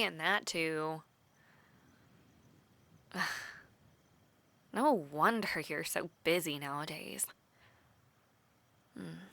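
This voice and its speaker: A woman's voice: mid-range voice, sharp tone, no echo, heavily acted. A young woman voice acting a playful, teasing young soldier-to-be in an intimate, conversational audio roleplay. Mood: softer, wistful. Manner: teasing, chuckling, conversational with sighs and pauses.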